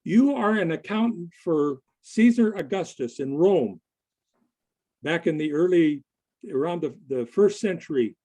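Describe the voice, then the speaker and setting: Mellow, higher-pitched man presenting. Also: lively intonation, measured pace. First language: English. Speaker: conference presenter. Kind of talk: conversational presentation and question answering to a group. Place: online video meeting.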